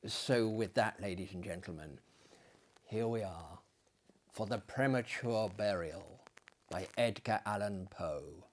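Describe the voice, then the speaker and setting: Slow, theatrical male storyteller. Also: lowish voice. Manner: hammy, florid, self-deprecating. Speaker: performer giving dramatic readings. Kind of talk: theatrical storytelling and dramatic reading.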